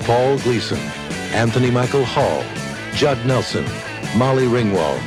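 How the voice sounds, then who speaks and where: Male trailer announcer, scripted movie promo read, medium pitch, loud music bed. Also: animated, a little slow. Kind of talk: scripted film trailer narration.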